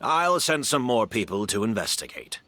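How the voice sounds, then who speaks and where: A man's voice: fairly low pitch, big pitch swings, moderate pace, bright, forceful tone, no echo. A man playing a modest, gracious older master perfumer and mentor in a video game. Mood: explaining. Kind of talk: video game character acting.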